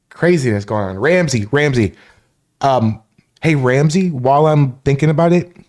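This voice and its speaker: A man's voice: medium pitch, a little mellow. A man from Florida hosting a casual live stream, rambling, greeting chat viewers by name and swearing freely. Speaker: livestream host.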